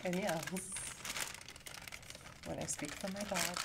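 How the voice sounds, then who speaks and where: A woman in her thirties from Montana with a light Upper Midwest accent, talking softly and partly whispering in a chatty, self-deprecating way for ASMR. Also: fairly low pitch, takes it slowly, gravelly, heavy background noise. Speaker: ASMR creator. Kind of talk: soft-spoken ASMR rambling. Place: indoor room before a brick wall. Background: loud plastic bag crinkling.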